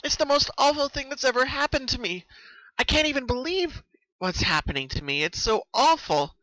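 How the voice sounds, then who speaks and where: A man's voice: very high-pitched, expressive, moderate pace, bright, forceful tone, no room sound, voice pushed up, exaggerated voice, rough recording. A man voicing a distraught, exhausted young female cartoon pony in a quivering, pleading, dramatic storybook reading. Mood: anguished.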